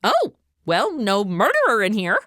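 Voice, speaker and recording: A woman's voice: medium pitch, huge pitch swings, measured pace, fairly bright tone, no echo, studio quality. An actress playing a sassy, exasperated modern young woman with a blunt, snarky manner in a comedy audio drama. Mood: flippant.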